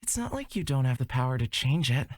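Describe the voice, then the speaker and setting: A woman voicing a reassuring, philosophical young man in a fantasy visual novel, measured and encouraging. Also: rumbling low, big pitch swings, dry recording, clean studio sound, voice pushed down, heavily acted. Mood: gently encouraging. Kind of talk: acted visual novel dialogue.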